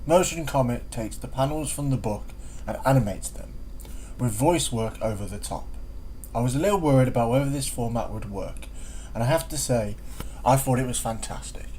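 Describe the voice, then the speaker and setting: Man reviewing, medium pitch, faint noise. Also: moderate pace, dark tone, rough recording. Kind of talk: semi-scripted review commentary.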